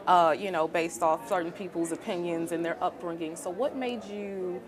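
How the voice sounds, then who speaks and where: A woman's voice: on the low side, fairly even intonation, moderate pace, crowd noise. A woman hosting a TV interview, encouraging, often repeating and rephrasing her questions. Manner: encouraging, repeats questions, frequent "really?" and "wow". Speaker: TV interview host. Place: book fair.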